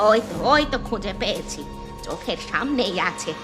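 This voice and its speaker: A woman's voice: medium pitch, animated, moderate pace, over music, lightly acted. A woman voicing a caring but stubborn, easily irritated old grandmother in a Bangla cartoon. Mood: irritable.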